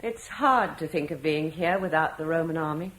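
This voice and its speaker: A gracious Roman-British wife. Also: female voice, deep voice, normal speed, a bit bright, low-quality recording. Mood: incredulous. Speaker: actress in radio drama. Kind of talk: 1950s British radio play acting.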